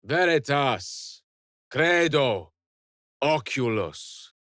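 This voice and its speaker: An actor playing an eloquent, wry, romantic scholarly wizard in a fantasy video game, speaking in an articulate, theatrical way. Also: male voice, mid-range voice, lively intonation, extremely slow, fairly bright tone, no room sound, clean studio sound. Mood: incanting.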